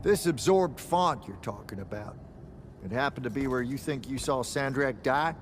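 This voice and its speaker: A man's voice: mid-range voice, moderate pace, a little hiss or hum, lightly acted. Salty, folksy veteran mage played by a man. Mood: wry, matter-of-fact. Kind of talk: video game cutscene acting.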